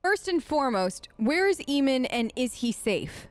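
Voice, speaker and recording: High, animated woman doing game commentary. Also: normal speed, no room sound. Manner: chatty, reacting aloud to the game. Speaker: let's play host. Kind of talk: improvised in-character game commentary.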